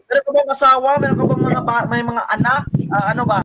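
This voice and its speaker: A man with a Filipino accent hosting an improvised game show interview in a halting, repetitive way. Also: very high voice, steady tone, pushed and bright, a little hiss or hum, rough recording. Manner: halting, repetitive, many sirs. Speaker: show host.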